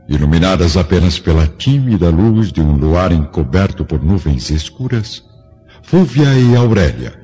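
A male narrator with a Brazilian Portuguese accent tells a radio drama in a scripted, theatrical style. His voice is very deep and dark, with wide, expressive swings of pitch. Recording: muffled radio drama recording with music.

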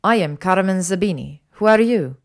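A woman's voice: mid-range voice, animated, moderate pace, close to an everyday voice. A woman voicing an imperious, scolding older female ghost, a rich widow with an Italian accent, in a story reading. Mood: indignant. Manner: haughty, scolding. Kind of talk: fan fiction audiobook character voice.

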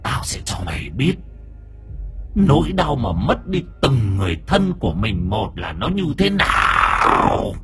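A man voicing a tall vengeful ghost woman in a horror story, with drawn-out, mocking threats. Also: mid-range voice, big pitch swings, a bit bright. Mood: menacing, mocking. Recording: background music under the voice.

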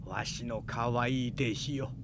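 An actor playing a gentle old wizard mentor who speaks in an archaic, elderly way in a radio drama. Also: male voice, medium pitch, fairly even intonation, takes it slowly, noisy background, natural voice. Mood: wistful, fond. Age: older.

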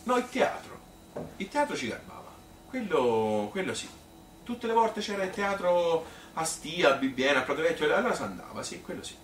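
A man's voice: on the high side, sing-song, normal speed, a bit bright. Man playing a rambling Tuscan mother, roomy and distant. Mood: reflective. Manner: rambling, colloquial, hesitant, self-questioning. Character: plain-spoken Tuscan mother reminiscing about marriage and pregnancy. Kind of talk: conversational theatrical monologue. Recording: roomy, distant stage recording with noise.

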